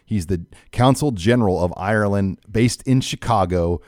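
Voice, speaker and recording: Male sports radio host, American, low register, conversational delivery, dry studio recording. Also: moderate pace, a little mellow, no room sound.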